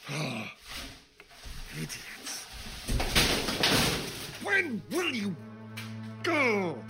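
An actor playing a world-weary villain in an audio horror drama, muttering with contempt at human beings. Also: male voice, sits high, huge pitch swings, extremely slow, exaggerated voice. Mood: scornful. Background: loud dramatic music.

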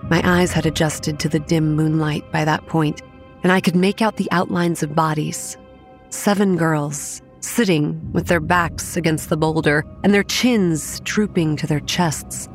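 Young female narrator for audio horror: low register, grim, measured, over faint music. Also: steady tone. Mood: grave, measured. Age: young adult. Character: young woman campground manager, grimly recounting childhood horrors. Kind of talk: scripted first-person horror narration. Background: soft music.

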